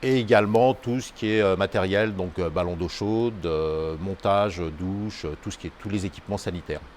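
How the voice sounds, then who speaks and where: A male vocational teacher explaining a school project, pausing often on fillers. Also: low-pitched, darkish tone, slight background noise. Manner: hesitant, many fillers. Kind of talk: school video interview.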